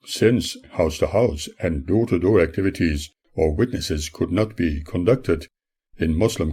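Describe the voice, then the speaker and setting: An older man, an author, reads his own memoir as scripted audiobook narration in a formal, measured manner. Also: sits low, dark tone, slightly rough.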